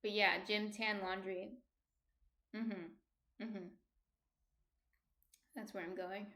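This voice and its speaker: A soft-spoken woman in her thirties with a New Jersey accent, casually chatting and answering viewer comments on her stream. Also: mid-range voice, steady tone, very slow. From New Jersey, USA.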